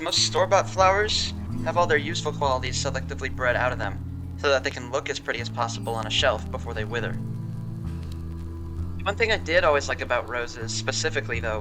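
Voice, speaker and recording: A man's voice: mid-range voice, lightly acted. An actor playing a fussy, digressive male accountant in his thirties, telling his story in an audio drama. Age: 30s. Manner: digressive, opinionated, particular.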